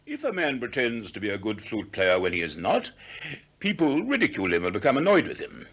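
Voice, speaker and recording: An actor playing a self-assured, eloquent ancient Greek teacher in a 1960s radio drama, with an expansive lecturing manner. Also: male voice, mid-range voice, wildly swooping pitch, fairly quick, fairly bright tone, exaggerated voice. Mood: confident lecturing.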